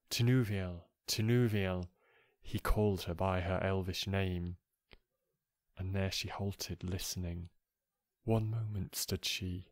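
A man with a soft voice reading poetry aloud in a measured, gentle, calming way. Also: deep voice, dry recording, clean studio sound. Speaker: poetry reader. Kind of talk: slow, calm recited poetry reading for relaxation.

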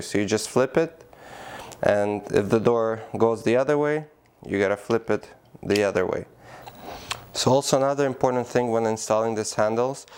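Brief: male presenter, smooth clean voice, practical step-by-step product demo with casual fillers. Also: mid-range voice. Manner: plain, practical, many "uh" fillers. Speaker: product demonstrator. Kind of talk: how-to demonstration. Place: indoor room by a door.

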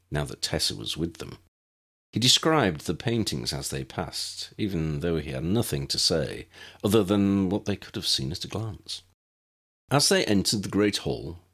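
A man narrates an audiobook story. His voice is low and gravelly at the edges, with lively rises and falls through the scripted prose.

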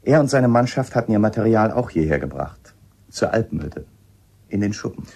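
A shrewd inspector in a radio play. His voice is deep and mellow with a gravelly grain. He is polite, a little hesitant and probing. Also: expressive, moderate pace, full character voice. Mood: insistent. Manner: hesitant, probing, matter-of-fact. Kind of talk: radio drama acting.

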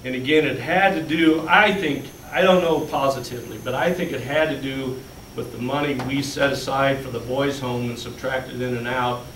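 A man's voice: mid-range voice, fairly even intonation, moderate pace, hardly any pauses, a bit bright. A man explaining budget figures step by step to a school board in a large meeting room, recorded from a distance. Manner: plainspoken, walks listeners through handouts step by step. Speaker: school business official presenting budget. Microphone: far room camera. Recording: echoey room, distant mic, faint hum.

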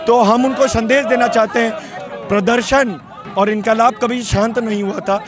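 Young guy activist yelling his points into a reporter mic outside, high voice, crowd noise all around. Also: steady tone, moderate pace. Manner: forceful, fiery political speech. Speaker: student activist. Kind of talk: street interview at a protest. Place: outdoor street protest. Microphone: handheld reporter mic. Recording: outdoor protest with crowd behind.

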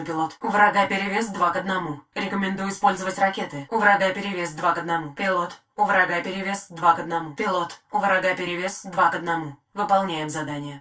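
A woman voicing a calm, dutiful female war-machine AI in a video game, giving terse military status reports in a crisp, even, measured way. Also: mid-range voice, close to an everyday voice. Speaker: titan AI voice. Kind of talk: game voice lines. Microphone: studio mic.

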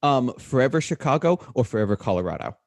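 A man's voice: medium pitch, animated, fast talker, a little mellow, dry recording. A young American man co-hosting a podcast, chatting casually into a close desk microphone. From New England, USA. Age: young adult. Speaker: podcast co-host. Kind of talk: casual chat. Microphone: close desk microphone with headset.

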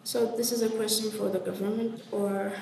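A woman's voice: mid-range voice, level tone, moderate pace, vocal fry, mellow, roomy sound, distant mic, slight background noise. A young woman from Ethiopia, a student journalist, answering earnestly and searching for her words in a classroom exercise. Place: classroom workshop, indoors.

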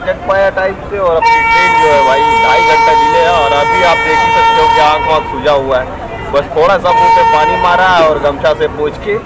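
A man's voice: on the high side, steady tone, brisk. A young man with a slightly raised voice chats loosely and directly to his viewers, full of fillers. He films himself on an action camera at arm's length on an open railway platform, and heavy train and station noise presses in behind him. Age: young adult. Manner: chatty, talks straight to viewers, many fillers. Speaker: travel vlogger. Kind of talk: casual vlog chat to camera.

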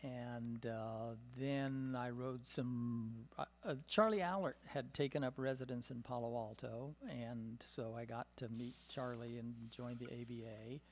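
Older man, gravelly voice, rambling reminiscence, lots of fillers, desk microphone, meeting room. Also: medium pitch, steady tone, unhurried, slight background noise. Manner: storytelling with many "uh" and "um" fillers, chuckling. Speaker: symposium panelist. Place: meeting room, seated at a table. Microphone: desk microphone on a stand.

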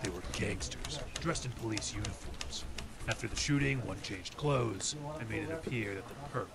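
A gravelly veteran detective. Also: male voice, fairly low pitch, moderate pace, a crowd in the background. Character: hardened veteran male city detective. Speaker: Detective Henry Reeves. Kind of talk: old time radio drama acting. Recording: hissy background noise.

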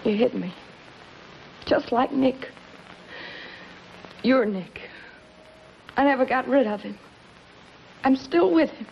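An actress playing a bitter, desperate gangster's moll with a sardonic, clipped, dramatic delivery in a 1950s television crime melodrama. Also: female voice, high-pitched, animated, slow pace, gravelly, pauses often, full character voice. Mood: distraught. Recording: muffled, noisy 1950s television soundtrack.

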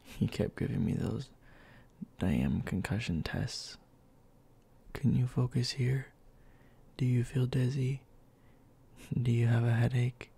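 A man playing a bossy but tender young boyfriend in a soft, intimate ASMR roleplay, speaking in a halting, breathy murmur that often drops to a whisper. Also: mid-range voice, heavily acted. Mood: tender, earnest. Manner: halting, murmured, scolding yet caring.

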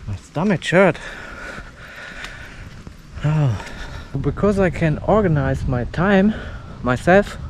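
A young man chatting casually in halting, simple phrases as he records a walking vlog. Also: fairly high pitch, expressive. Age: young adult. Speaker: walking vlogger. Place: outdoors, suburban street and park path. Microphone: action camera at arm's length, no windscreen. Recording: windy outdoor camera mic, street noise.

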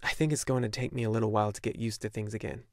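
A man voicing an earnest young boy in an audiobook, eager and sometimes halting. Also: fairly low pitch, fairly even intonation, no room sound, clean studio sound, lightly acted. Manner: eager, halting when unsure. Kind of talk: audiobook character voice.

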